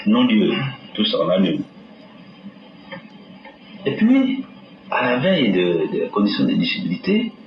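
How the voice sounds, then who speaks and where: A man in an interview, animated and acting out what others said. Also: fairly low pitch. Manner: animated, acts out quotes. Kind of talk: lively interview. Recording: dull roomy audio with faint noise.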